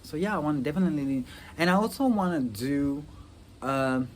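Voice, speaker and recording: A man's voice: a little high, animated. Young guy from rwanda vlogging, earnest, lots of um and like, faint hiss behind. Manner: earnest, halting, many fillers and restarts. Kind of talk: personal reflective talk to camera.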